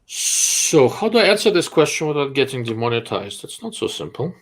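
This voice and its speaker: Russian accent guy hosting a live q&a, gravelly, blunt and kinda sarcastic, middle pitch. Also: very animated, fairly quick, poor audio. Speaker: host. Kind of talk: live-stream chat and Q&A.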